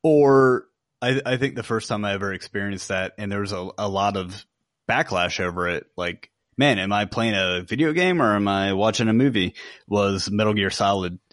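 A man's voice: lowish voice, moderate pace, a bit bright, no echo, clean studio sound. A man co-hosting a podcast and giving his opinions in casual conversation. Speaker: podcast co-host. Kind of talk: casual chat, opinions on games.

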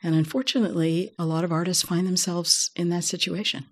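A middle-aged woman, a voice care expert, speaking in a measured, explanatory way with touches of gentle humor. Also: lowish voice, fairly even intonation. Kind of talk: relaxed conversational interview.

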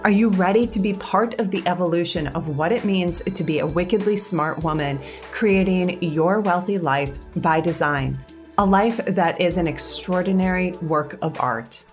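Woman, scripted ad narration, smooth persuasive read, faint background music. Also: lowish voice, moderate pace.

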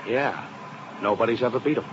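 An actor playing an earnest, uneasy insurance agent in a 1950s radio drama, heard on a muffled, hissy old broadcast. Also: male voice, medium pitch, a little fast, close to an everyday voice. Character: worried male insurance agent, earnest partner.

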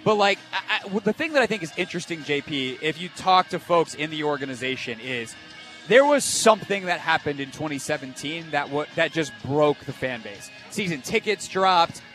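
A male sports radio host with a slightly high voice talks fast and emphatically, broadcasting live from an event. Faint music plays behind him. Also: animated, a bit bright.

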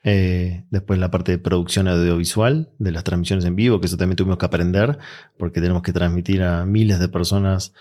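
Argentine male coach, interview guest; very deep, mellow voice, easygoing delivery with fillers. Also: a little fast. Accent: Argentine Spanish. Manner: relaxed, reflective, with frequent fillers. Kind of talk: interview answers.

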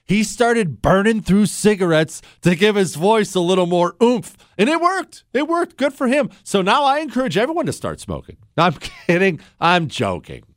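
A man from Ohio hosts a radio talk show with a fairly high voice that sounds dry and close in the studio. He is opinionated and sarcastic, with mocking jokes throughout. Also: sing-song, normal speed, few pauses, no echo. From Ohio, USA.

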